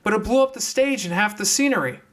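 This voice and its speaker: A man's voice: sits high, animated, fast talker, fairly bright tone, voice pushed up. A man playing a blunt, practical young woman in a fox mask, talking tersely in an audiobook. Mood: rushed, explaining.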